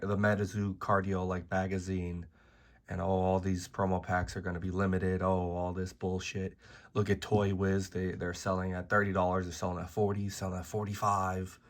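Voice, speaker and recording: A man with a hoarse, worn-out voice from being sick, delivering a blunt, profane, exasperated rant to camera as a card-game video host. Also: low-pitched, fairly bright tone. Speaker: trading card game YouTuber. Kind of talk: ranting video commentary.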